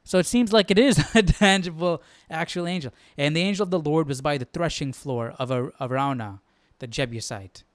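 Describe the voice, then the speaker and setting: A man hosts a casual Bible livestream, wondering aloud and posing questions. His voice is a touch high, smooth and clean, recorded very dry. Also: a little fast, hardly any pauses, dry recording. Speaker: host of a live Bible study.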